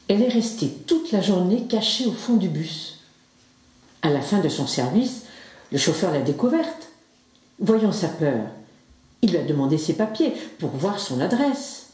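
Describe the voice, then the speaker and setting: A woman performer telling a story in a slow theatrical recitation on a stage. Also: fairly low pitch, dark tone. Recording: very echoey stage.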